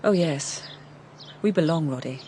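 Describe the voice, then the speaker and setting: An actress playing a proud, wounded young heiress in a British radio drama, well-spoken and controlled, with an English accent. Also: female voice, deep voice, a little slow, dark tone, slight background noise. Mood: hurt, controlled. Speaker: actor.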